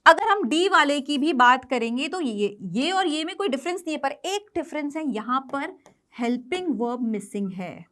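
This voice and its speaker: Indian lady teacher, really high voice, slow pace, explains exam grammar and asks then answers her own questions. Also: fairly bright tone. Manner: explanatory, poses questions and answers them herself; switches between Hindi and English. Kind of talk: exam coaching lesson.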